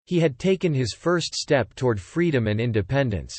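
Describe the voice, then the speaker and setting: A man narrates a literary audiobook drama at a middle pitch, telling the story as scripted third-person narration. The recording is dry and close, with very little room sound. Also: lively intonation, moderate pace, a little mellow, dry recording.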